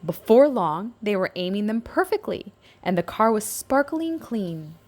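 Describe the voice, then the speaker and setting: A woman's voice: medium pitch, big pitch swings, measured pace, a little mellow. A woman narrating a scripted picture book aloud for young children, as a storybook reader.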